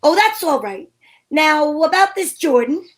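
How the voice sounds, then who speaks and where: A woman's voice: high-pitched, lively intonation, a little slow. A woman playing a breezy, wisecracking private detective in an amateur old-time radio drama, wry and playful.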